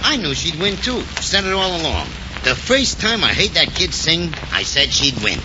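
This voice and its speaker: An actor playing a blustery, teasing older small-town mayor in 1940s radio comedy-drama. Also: male voice, on the high side, big pitch swings, moderate pace. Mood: exasperated, crowing. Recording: muffled, noisy vintage radio.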